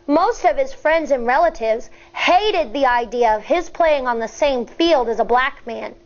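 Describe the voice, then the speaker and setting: A woman teacher reading a picture book aloud to children, expressive and deliberate, stepping aside at times to explain. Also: high voice. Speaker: elementary school teacher. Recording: dull, lo-fi sound.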